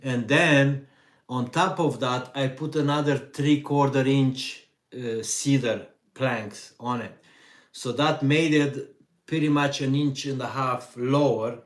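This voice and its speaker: Slow-talking Hungarian-accented man. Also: mid-range voice. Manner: slow, relaxed, pauses with fillers. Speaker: vanlife channel host. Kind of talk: live stream Q&A reading chat.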